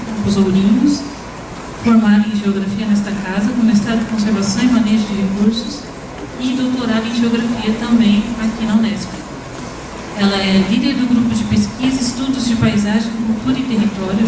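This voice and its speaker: A woman moderating a seminar session, formally reading out the speakers' credentials one after another. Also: fairly high pitch. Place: lecture hall. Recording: echoey hall from far off, some noise.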